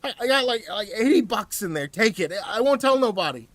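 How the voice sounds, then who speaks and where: A man's voice: lively intonation, fairly quick, fairly bright tone, no room sound. A man putting on a stammering, panicked thug. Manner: stammering, pleading, high-pitched panic. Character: frightened scruffy middle-aged male thug, stammering.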